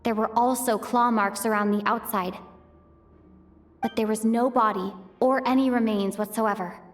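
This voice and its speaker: A woman plays a young female traveler thinking through a mystery step by step, weighing each clue and questioning herself as she goes. Her voice sits a little above the usual female pitch, and faint game music runs quietly underneath. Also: steady tone, normal speed, natural voice. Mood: thoughtful. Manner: methodical, reasoning aloud, questioning herself. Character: thoughtful young female traveler piecing together clues. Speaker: the traveler in a fan dub. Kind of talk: fan voice acting of a game cutscene, inner monologue.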